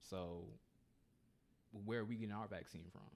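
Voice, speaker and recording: Laid-back young Texan man, low voice. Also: fairly even intonation, a little mellow. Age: young adult. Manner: laid-back, says "bro" and "like" often, quick bursts. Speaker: podcast guest, author. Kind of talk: casual chat.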